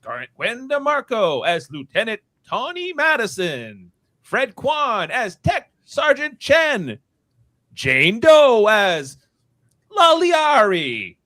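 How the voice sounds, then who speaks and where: Bombastic event announcer, played by a man: high, forceful, stretched-out shouts, radio-play showmanship. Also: huge pitch swings, heavily acted. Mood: grand, proclaiming. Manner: shouted, drawn-out showman delivery. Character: bombastic male convention announcer, hyping the crowd with big fanfare. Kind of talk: live radio-theatre script read.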